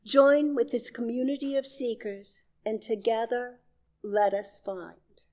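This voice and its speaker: A woman leading worship, reading a call to worship in deliberate, solemn phrases with pauses. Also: on the high side, a little mellow. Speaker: worship leader.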